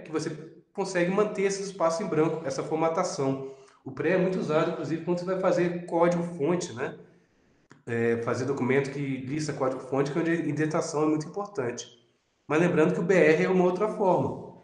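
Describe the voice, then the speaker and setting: A Brazilian man with a Minas Gerais accent teaching web programming in a relaxed lecture, often tagging sentences with "né?". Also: fairly high pitch, roomy sound. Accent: Minas Gerais Portuguese. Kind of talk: recorded lecture over slides.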